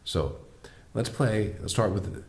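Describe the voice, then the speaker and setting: A man with a deep voice teaching a piano lesson, patiently explaining step by step and asking the viewer questions. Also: animated, slow pace, gravelly. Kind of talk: online music lesson.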